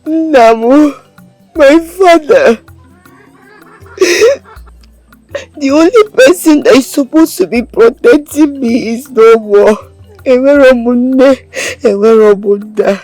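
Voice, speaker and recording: A woman's voice: sits high, expressive, moderate pace, hardly any pauses, no room sound. An actress with a Nigerian Igbo accent playing a meek, grieving orphaned young woman in a film melodrama, pleading tearfully. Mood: tearful, despairing. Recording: film dialogue with music behind.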